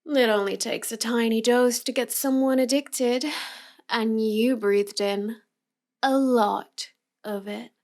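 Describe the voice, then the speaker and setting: A woman's voice: fairly high pitch, steady tone, darkish tone. A woman playing a cute, teasing young villainess in an intimate roleplay audio, drawing out her words as she mocks the listener. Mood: teasing, mocking. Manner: slow, teasing delivery with drawn-out words and giggles. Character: cute, teasing, mocking young villainess with mind-control powers.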